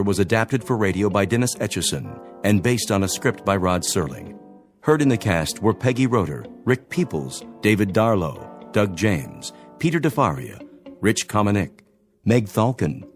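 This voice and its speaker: A man reading scripted closing credits as a radio drama announcer. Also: fairly low pitch.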